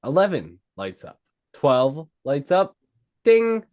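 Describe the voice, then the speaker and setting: A man reading screenplay stage directions in a halting way, on a slightly dull recording. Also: fairly high pitch, very animated, darkish tone. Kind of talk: scripted narration, present-tense screenplay directions.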